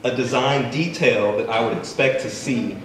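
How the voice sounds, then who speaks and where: A man reading his own fiction aloud at an open mic in a bookstore, measured and deliberate. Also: medium pitch. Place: bookstore event space, indoors. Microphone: stand mic, recorded by a far camera. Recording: echoey room from far off, faint noise.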